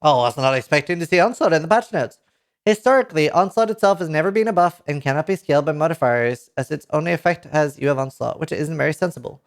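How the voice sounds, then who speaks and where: Give me a Norwegian-accented man in his thirties reading game patch notes live, excitable and chatty with his viewers. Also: fairly high pitch, quick pace. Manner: excitable, swears casually, talks to chat. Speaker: streamer. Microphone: headset mic at a desk.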